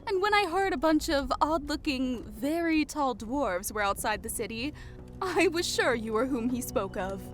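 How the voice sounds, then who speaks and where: A female elf sorceress from a fantasy audiobook, voiced by a man, high and light. The delivery is playful and lively, teasing yet kind, over a music bed. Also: moderate pace. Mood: friendly, reassuring. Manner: playful, lilting.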